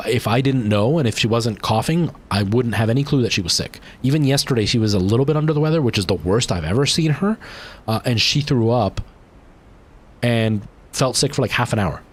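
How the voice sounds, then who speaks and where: Young man, streamer signing off, slightly low voice, rambly and chatty, pitch jumps up when excited. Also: brisk. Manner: chatty, rambling, many fillers and restarts. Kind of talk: casual chat ending a game stream.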